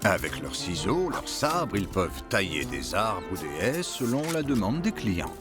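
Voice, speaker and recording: A man narrating a children's cartoon as an expressive, dramatic storyteller. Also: deep voice, normal speed, music in the background.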